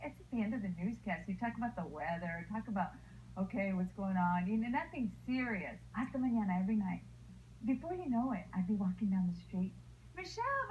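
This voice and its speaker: A woman with a fairly high voice tells her own story with spirit and acts out the people she quotes. The recording is thin and phone-like, with faint hiss underneath. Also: a little fast. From El Paso, Texas. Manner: lively, acts out the voices of others. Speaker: former TV news anchor. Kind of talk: personal storytelling to camera.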